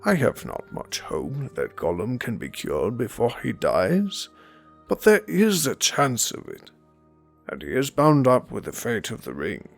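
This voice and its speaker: A man playing a wise old wizard, solemn and measured, as in a fantasy drama. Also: mid-range voice, huge pitch swings, vocal fry, soft background music, full character voice. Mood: grave, reflective. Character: wise, grave old male wizard, compassionate. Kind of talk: fantasy film-style dramatic acting.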